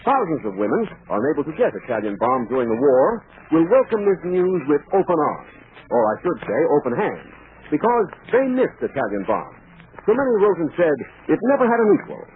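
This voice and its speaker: A man's voice: a little high, very animated, brisk. A man announcing a scripted 1940s radio commercial with emphatic, polished salesmanship. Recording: thin, phone-like 1940s radio recording.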